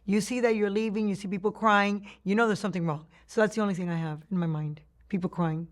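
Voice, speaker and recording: A woman's voice: medium pitch, steady tone, fast. Cuban-born woman recalling her past. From Cuba. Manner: reflective storytelling with frequent restarts and laughs. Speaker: interviewee. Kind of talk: oral history interview.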